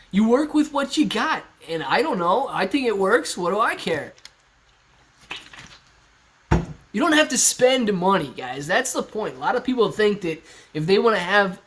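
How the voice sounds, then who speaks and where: Male, 30s, slightly high voice; laid-back live-stream host reading chat from home. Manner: laid-back and chatty, laughs often, reads chat aloud. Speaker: stock livestream host. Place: sitting in his living room. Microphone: laptop on a kitchen stool at eye level.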